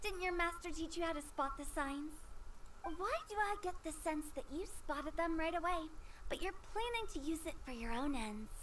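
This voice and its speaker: An actress playing a playful, cheeky young funeral director in a video game, teasing and mock-formal, over music. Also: female voice, extremely high pitch, lively intonation, normal speed, noisy background. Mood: suspicious.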